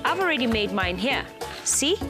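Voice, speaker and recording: Warm-toned woman presenter leading a kids' craft segment, animated and high-pitched, with music under her. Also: normal speed. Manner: gentle, encouraging, speaks to the child viewers. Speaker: children's TV presenter. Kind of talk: coaching kids through a craft activity.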